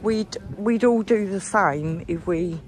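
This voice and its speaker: Middle-aged British woman, chatty walking-tour host addressing viewers, recorded outdoors with clear ambient noise. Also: medium pitch, slow, darkish tone. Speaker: vlog host. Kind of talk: casual walking-tour chat. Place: outdoors, walking along a marina promenade. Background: outdoor seafront ambience.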